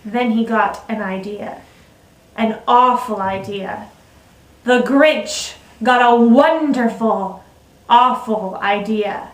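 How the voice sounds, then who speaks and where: A woman's voice: on the high side, slow. A woman giving a dramatic reading of a children's storybook as one of two narrators. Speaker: co-host and story reader. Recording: roomy echo, distant mic.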